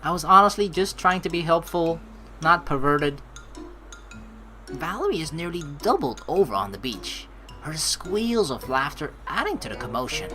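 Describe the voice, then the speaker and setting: Lowish bright woman streamer, reads story game aloud n exclaims, super lively, faint music behind. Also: moderate pace. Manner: expressive, exclaiming, reacts out loud. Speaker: let's play streamer. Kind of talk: game commentary mixed with reading the narration aloud.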